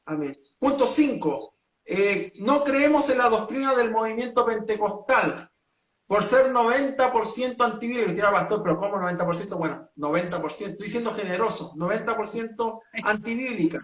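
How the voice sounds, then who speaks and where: A man from Chile, a pastor, setting out his church's principles in an earnest, measured way with many hesitations. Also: high-pitched, a bit bright, reverberant, recorded from a distance, slight background noise, low-quality recording. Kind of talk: doctrinal statement in a two-way talk.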